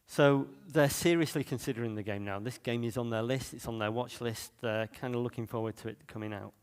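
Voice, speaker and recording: A man's voice: mid-range voice, fairly even intonation, brisk, clean tone. A man from Manchester with a Mancunian accent, giving a chatty conference talk full of fillers and restarts. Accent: Mancunian English. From Manchester, England. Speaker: conference speaker. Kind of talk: conference talk on game marketing. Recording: long reverb of a hall.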